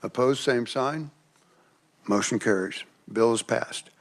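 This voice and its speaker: A man's voice: medium pitch, slow. A man chairing a legislative committee meeting, running procedure in a courteous manner.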